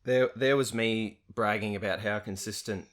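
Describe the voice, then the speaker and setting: A young male podcast host with a fairly low voice chats casually. He is talkative and self-deprecating, and he laughs often. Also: fairly even intonation, normal speed, fairly bright tone. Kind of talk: casual interview chat.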